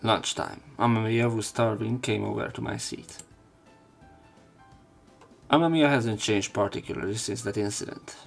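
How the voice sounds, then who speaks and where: Casting: male let's player, lowish voice, reading visual novel narration over game music. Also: normal speed, vocal fry, pauses often. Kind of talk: reading translated game narration and dialogue aloud.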